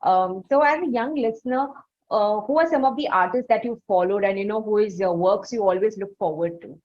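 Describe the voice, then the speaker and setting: A woman's voice: medium pitch, brisk, darkish tone. A woman hosting an interview, asking questions in a hesitant, roundabout conversational way. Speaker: interviewer, host. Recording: slightly dull, lo-fi audio.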